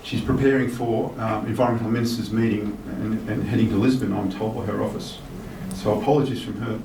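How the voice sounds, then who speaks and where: A man's voice: on the low side, a little fast, vocal fry. Man speaking in a large echoey hall. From Australia. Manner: relaxed, with um fillers. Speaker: guest speaker reading a minister's message. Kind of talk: formal speech mixed with personal remarks. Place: large hotel reception hall. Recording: echoey hall from far off with faint noise.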